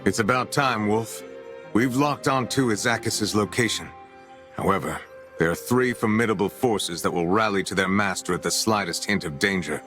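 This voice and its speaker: An actor playing a grave commander giving a formal, measured mission briefing in a video game. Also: male voice, fairly low pitch, fairly bright tone, soft background music, natural voice. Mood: grave, resolute.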